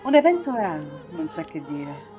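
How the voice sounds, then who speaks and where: Lowish-voiced Italian woman narrating a story. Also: very animated, moderate pace, music in the background. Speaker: narrator in a live reading.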